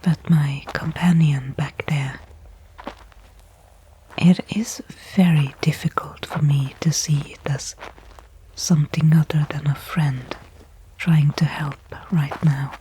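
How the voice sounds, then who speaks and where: A woman playing a weary but hopeful stranded space worker in a whispered ASMR sci-fi roleplay, speaking in hushed, reflective narration with pauses. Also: low voice, fairly even intonation, a little hiss or hum, rough recording.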